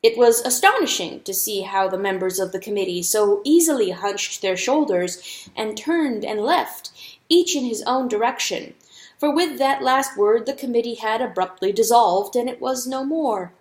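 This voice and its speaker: A woman with a fairly high voice narrates a story in a dramatic audiobook style. The recording is noticeably roomy and echoey. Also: normal speed.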